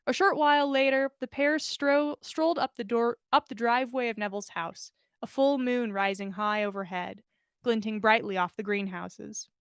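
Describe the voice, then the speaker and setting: A woman's voice: fairly high pitch, steady tone, normal speed, clean tone, no echo, studio quality. A woman narrating a story expressively, as a podcast host reading fan fiction aloud.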